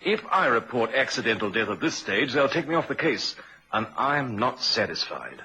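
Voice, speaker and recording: An actor playing a shrewd, probing police inspector with a husky voice in a vintage radio crime drama. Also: male voice, medium pitch, big pitch swings, normal speed, pushed and bright, exaggerated voice, low-quality recording. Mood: musing, dissatisfied.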